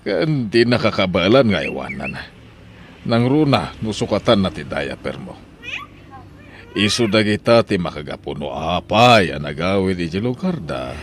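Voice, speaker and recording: A man's voice: low-pitched, big pitch swings, normal speed, a little hiss or hum. A man with an Ilocano accent playing a stern old husband who scolds his wife in an audio drama. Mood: stern, scolding.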